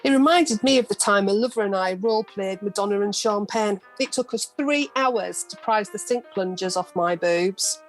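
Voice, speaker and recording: A woman's voice: medium pitch, normal speed, few pauses, natural voice, low-quality recording. A woman acting a shameless, bawdy storyteller in an amateur radio play, theatrical and boastful. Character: Nancy, brazen, bawdy, shameless female storyteller. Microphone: video call from home. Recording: home video-call recording with music.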